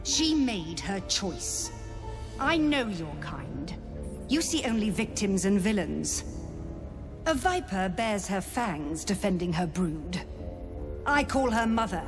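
A stern elf druid woman from a fantasy game, commanding and ruthless. She speaks slowly, with wide swings of pitch, in a long reverb over music. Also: sing-song. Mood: cold, defiant. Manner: imperious, commanding, deliberate. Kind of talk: dramatic fantasy game acting.

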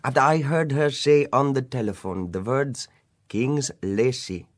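An actor playing a miserable, sheepish young prince in a radio play, wistful in tone. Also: male voice, mid-range voice, a little slow, lightly acted. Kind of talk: 1960s radio drama acting.

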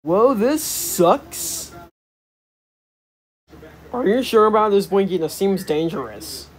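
A man's voice: sits high, sing-song, slow, full character voice. Man doing a gloomy, reluctant cartoon ghost. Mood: worried. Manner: hesitant, reluctant. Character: gloomy, timid, worried male cartoon ghost.

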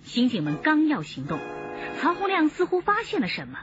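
A woman's voice: sits high, huge pitch swings, normal speed. A woman narrator reading dramatic scripted narration for a radio drama. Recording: dull sound under music.

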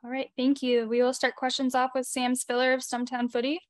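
Even-toned woman, higher voice, dry sound. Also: a little fast, dry recording. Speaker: press conference moderator. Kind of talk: brisk formal moderating.

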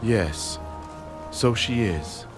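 An actor playing a calm, wise gentleman strategist of ancient China in a video game, formal and composed. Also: fairly low pitch, extremely slow, music in the background. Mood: composed, gracious. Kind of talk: dubbed video game cutscene acting.

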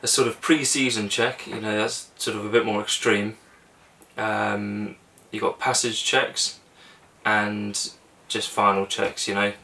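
A man's voice: on the low side, a little slow, distant mic. A young man, casually explaining boat engine checks step by step in a small cabin. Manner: relaxed, practical, talks through steps with fillers. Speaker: sailing vlogger.

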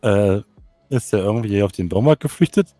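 A man's voice: lowish voice, expressive, normal speed, warm tone, no echo, soft background music. Man in casual game chat, dry sound. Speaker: roleplay game player. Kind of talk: casual in-character storytelling and banter.

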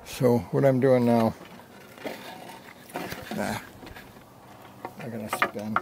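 A man's voice: medium pitch, fairly even intonation, slow, creaky, heavy background noise. A man of about seventy narrating his hike casually, in a folksy, self-deprecating way, into a handheld camera outdoors. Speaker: hiking vlogger. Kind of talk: casual narration while hiking. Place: outdoors on a rocky desert mesa slope. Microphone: handheld camera at arm's length.

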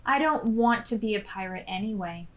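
Woman playing a stubborn, eager young storybook girl, lively pitch. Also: normal speed, highs cut off, natural voice. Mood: sulky, insistent.

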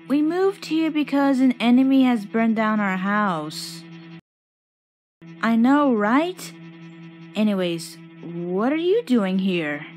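Woman as a high, spirited cartoon girl bird. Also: exaggerated voice. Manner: earnest, courteous, expressive. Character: friendly, spirited young female cartoon bird. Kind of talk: cartoon character voice lines.

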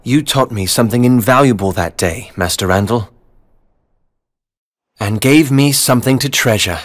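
An actor playing a loyal, sincere and reserved young gentleman in an animated game, speaking in a measured, earnest way. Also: medium pitch, dry recording, studio quality, natural voice. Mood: sincere, tender. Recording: music under the voice.

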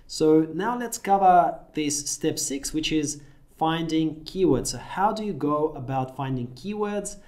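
A man's voice: on the high side, lively intonation, measured pace, darkish tone. A man in his thirties from Russia, a Russian native speaker, giving an upbeat screen-share tutorial in a small room. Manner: upbeat, direct instructional talk. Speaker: affiliate marketing tutor.

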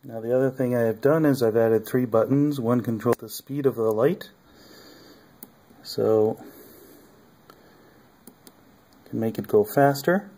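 A man casually showing his electronics project at a home workbench, talking haltingly with many ums and uhs. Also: medium pitch, fairly even intonation, mellow. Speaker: hobbyist maker.

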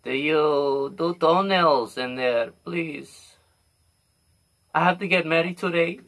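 A man's voice: fairly high pitch, takes it slowly, a bit bright. A young man playing an eccentric, pleading prank caller in a comedy show, theatrical and prone to sudden swings. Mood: desperate pleading. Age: young adult. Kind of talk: prank phone call comedy. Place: small cluttered room.

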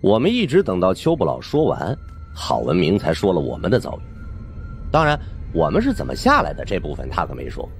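A man's voice: low-pitched, wildly swooping pitch, brisk, creaky, faint music behind. A man narrating a supernatural suspense audiobook in a theatrical, suspenseful way. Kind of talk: dramatic scripted storytelling of a supernatural suspense novel.

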